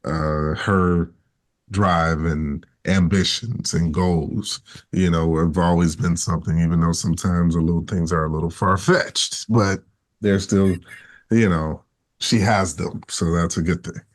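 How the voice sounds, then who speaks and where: A man's voice: deep voice, fairly even intonation, unhurried. A man talking in a laid-back, reassuring way about his marriage on a podcast. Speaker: podcast guest, husband. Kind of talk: casual chat.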